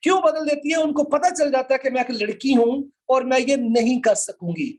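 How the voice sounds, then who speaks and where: A man's voice: very high-pitched, moderate pace, darkish tone. A man with a Pakistani accent lecturing informally to a class, explaining step by step and repeating his points. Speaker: law lecturer.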